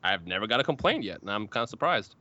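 A man with a very loud voice, a podcast guest talking with enthusiastic, laid-back slang. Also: lowish voice, sing-song, quick pace. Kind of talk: casual podcast chat. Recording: dry, slightly dull podcast audio.

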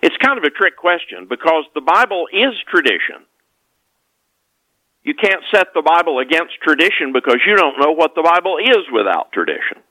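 A man's voice: a little high, big pitch swings, normal speed, pushed and bright, no echo, rough recording. A man speaking as an author guest on a podcast, explaining things conversationally and emphatically, often repeating and rephrasing his points.

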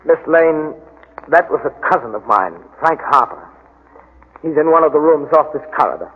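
A man playing a courteous but sinister gentleman host, smoothly evasive, in 1940s radio drama style. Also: fairly high pitch, animated, normal speed, close to an everyday voice. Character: suave, sinister male host of a remote ruined house. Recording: muffled, hissy 1940s radio recording.

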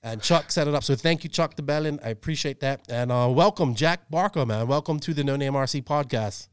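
A man hosts a chat podcast in a loose, rambling way, with plenty of fillers and restarts. His voice is smooth and clear at a middle pitch. The mic is close and dry. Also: a little fast, no room sound. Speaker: podcast host. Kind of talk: casual chat.

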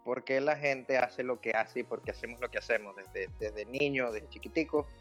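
A man's voice: mid-range voice, moderate pace, fairly bright tone, soft background music. A man from Venezuela with a Venezuelan accent, talking as a podcast guest in a reflective, grateful way. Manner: grateful, reflective, frequent "este" fillers. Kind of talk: casual conversational interview.

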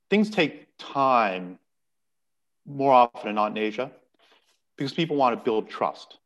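A man speaking as a podcast guest, explaining earnestly and often restarting his words. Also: mid-range voice. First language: English. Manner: earnest, explanatory, repeats words while thinking. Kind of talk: casual podcast conversation.

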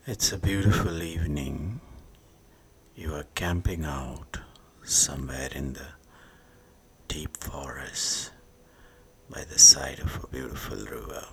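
A man guiding a sleep meditation, speaking soothingly in short hushed phrases with long pauses, often fading into a breathy whisper. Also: very deep voice, steady tone. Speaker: meditation guide. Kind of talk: guided sleep meditation narration. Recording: music behind the voice. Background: meditation music.

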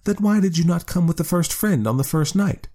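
A proud, clever cave woman who speaks in a raised, lofty sing-song, as a male narrator puts her on. Her lines ride high and swing widely in pitch, commanding and sure of herself. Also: expressive, brisk, mellow. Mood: haughty.

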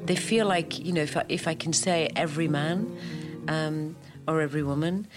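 A woman's voice: deep voice, normal speed, music in the background. A woman speaking reflectively and earnestly as a guest in an interview.

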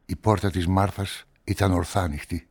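An actor as a deep-voiced, brooding male narrator. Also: steady tone, a little mellow, close to an everyday voice. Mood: measured. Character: brooding, solitary male newspaperman narrating a murder story. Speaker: narrator and protagonist of a radio play. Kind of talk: literary first-person radio drama narration.

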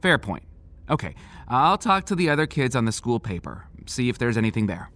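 A man playing a patient, paternal small-town sheriff in an audio drama, measured and reassuring but firm. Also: mid-range voice, sing-song, fairly bright tone. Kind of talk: naturalistic audio drama acting.

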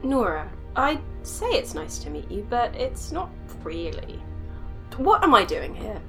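A woman's voice: a little high, very animated, measured pace, over music. Woman voicing a stammering, flustered scientist. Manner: nervous, stammering, sarcastic. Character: flustered young woman scientist stuck on a strange train. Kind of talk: audio drama acting.

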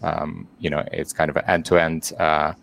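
Webinar guest: middle-aged man, Ukrainian accent, very low pitch, halting delivery. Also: little pitch movement, vocal fry, fairly bright tone. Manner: hesitant, many fillers and restarts. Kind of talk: webinar interview.

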